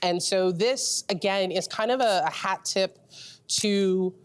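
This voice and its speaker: A woman's voice: medium pitch, takes it slowly, slight background noise. A woman from Trinidad with a Trinidadian accent, talking casually as a guest about the beers being tasted. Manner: explanatory, relaxed, with fillers. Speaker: beer expert guest. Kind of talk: casual tasting chat.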